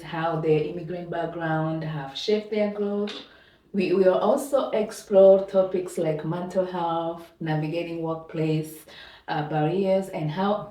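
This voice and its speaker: Woman moderating a panel, low mellow voice, echoey room. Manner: measured, with "uh" pauses. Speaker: host and moderator. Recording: roomy, distant mic.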